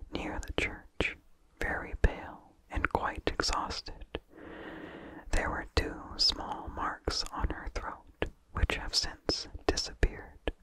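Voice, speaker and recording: Slow, whispered ASMR storyteller. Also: rough recording. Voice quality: breathy, unvoiced whisper. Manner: slow, deliberate, hushed delivery with long pauses. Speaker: ASMR host reading a vintage horror story. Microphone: close microphone with incidental mouth sounds.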